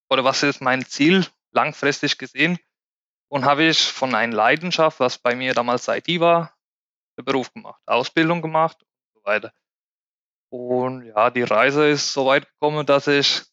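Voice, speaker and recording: A man from Spain talks as a podcast guest in German with a clear Spanish accent and a regional dialect, choosing his words with care. His voice is bright and crisp. The sound is dry and a little muffled. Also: medium pitch, no room sound. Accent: Spanish, with a Lower Franconian German dialect from the Aschaffenburg area. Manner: careful, searching for words, occasional grammar slips. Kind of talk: casual interview chat.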